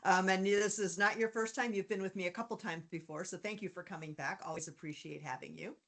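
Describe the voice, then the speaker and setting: A woman hosting an online pitch meeting, welcoming panelists in an upbeat manner. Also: fairly even intonation, a little fast. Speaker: meeting host.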